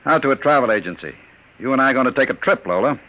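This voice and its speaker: An actor playing a scheming, cruel gangster in a 1950s radio drama, smooth and menacing, often dropping to a low murmur. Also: male voice, expressive, racing, close to an everyday voice. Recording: dull lo-fi old radio recording.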